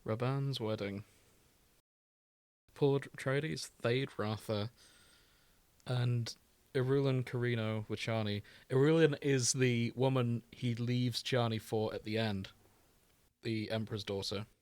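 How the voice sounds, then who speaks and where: A man's voice: medium pitch, fairly even intonation, takes it slowly, long pauses, darkish tone, no room sound. A man co-hosting a casual comedic podcast, with a wry, bantering and sometimes sweary manner. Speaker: podcast co-host.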